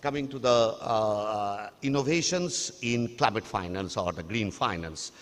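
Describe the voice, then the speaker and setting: A man giving a formal conference presentation, pausing often with fillers and restarts. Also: fairly low pitch, fairly bright tone. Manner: halting, frequent uh and restarts. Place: large conference hall. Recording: reverberant hall.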